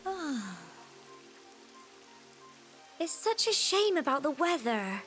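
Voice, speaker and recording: A woman's voice: sits high, slow, soft background music, exaggerated voice. A woman playing a young, chatty village girl, anxious but plucky, in a video game. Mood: wry, cheerful. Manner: folksy, expressive.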